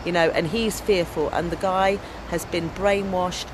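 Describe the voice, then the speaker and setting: A woman's voice: on the low side, unhurried, noisy background. An English woman with a big, strong voice, telling stories in a casual outdoor interview. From England. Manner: loud, strong voice with lively storytelling. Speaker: interviewee, activist and storyteller.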